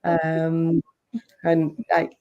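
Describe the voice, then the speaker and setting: A woman's voice: sits low, completely flat delivery, drawn-out words, highs cut off. A middle-aged woman from India, raised in England, introducing herself casually as a guest in a candid, hesitant way. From born in India, raised in England from age eight. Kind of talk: casual self-introduction in interview.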